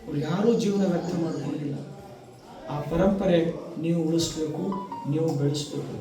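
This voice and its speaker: A man with a North Karnataka Kannada accent gives an emphatic motivational speech to students in short, deliberate phrases. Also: on the high side, music in the background. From Karnataka, India. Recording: echoey hall, distant microphone, audience noise.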